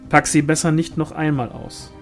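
A man's voice: a little high, little pitch movement, moderate pace, faint music behind, lightly acted. A man reading the part of a grave young male angel warrior in a storybook, solemn and stern.

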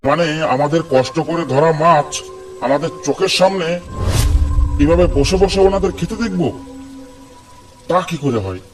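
Young village fisherman played by a man, high voice, cocky, argumentative, easily spooked, horror-comedy cartoon. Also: normal speed, pauses often, soft background music, lightly acted. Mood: indignant. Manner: argumentative, grumbling. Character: stubborn, hot-headed young village fisherman, cocky yet jumpy.

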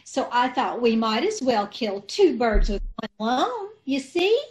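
A woman's voice: fairly high pitch, sing-song, unhurried, a little hiss or hum. A woman acting a scatterbrained, guileless, literal-minded married woman in an old-time radio comedy. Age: middle-aged. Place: indoors, on a video call.

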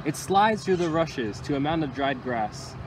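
A young man reads a picture book to small children with expressive, deliberate storytelling phrasing, recorded outdoors. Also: on the high side. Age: young adult. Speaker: county environmental employee reading to children. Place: outdoors under a tree by water. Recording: outdoor recording with loud ambient noise.

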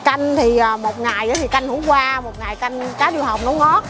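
High-voiced Southern Vietnamese stall owner. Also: female voice, brisk, loud music behind the voice. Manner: chatty, lists dishes one after another. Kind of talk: casual interview chat. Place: busy indoor market food stall.

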